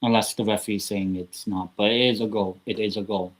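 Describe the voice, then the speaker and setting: Chatty low-voiced man commentating a live match. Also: fairly even intonation, fast talker. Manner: chatty, rambling, self-talking asides. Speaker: watchalong host. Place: small home room near a closet.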